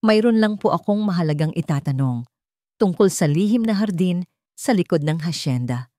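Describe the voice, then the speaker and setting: Female narrator as determined gentleman landowner, low voice, direct, insistent yet courteous, dramatic story. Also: animated, normal speed, no room sound, studio quality, lightly acted. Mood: direct, polite. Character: determined, grieving male hacienda master, a father.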